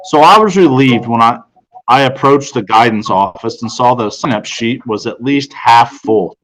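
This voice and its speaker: A man's voice: mid-range voice, moderate pace, fairly bright tone, poor audio. A man reading a first-person storybook narration aloud. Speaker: audiobook reader.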